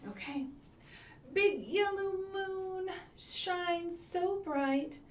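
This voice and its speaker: A woman's voice: high voice, darkish tone, a little hiss or hum. A woman hosting a children's storytime, explaining books and rhymes simply for young listeners. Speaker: children's librarian, storytime host.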